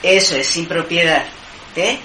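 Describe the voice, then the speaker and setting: Woman, interviewer, low voice, halting, roomy echo. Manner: hesitant, many restarts. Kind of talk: literary interview. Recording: echoey room, noisy background.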